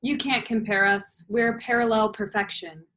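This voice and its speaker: A woman's voice: medium pitch, steady tone, moderate pace, a bit bright, natural voice. A woman playing a vain, competitive young best friend who is smug and easily riled, at a script table read.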